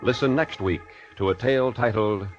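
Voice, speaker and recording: A man's voice: fairly low pitch, normal speed. An American man announcing formally in the style of 1940s network radio. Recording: muffled 1940s radio recording, faint music.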